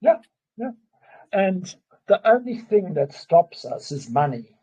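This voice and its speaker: Husky-voiced man, slow and halting. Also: high-pitched, big pitch swings, warm tone, dull audio. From South Africa. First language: Afrikaans. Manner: halting, restarts often, openly emotional. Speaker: guest. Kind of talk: casual conversation.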